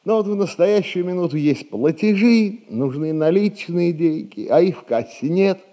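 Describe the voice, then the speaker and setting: A foolish, self-important merchant in a radio stage comedy. His voice is mellow and rather high for a man, with affected gentility. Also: lively intonation, moderate pace. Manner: pompous, affectedly genteel. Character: pompous, foolish middle-aged merchant son, scheming for money.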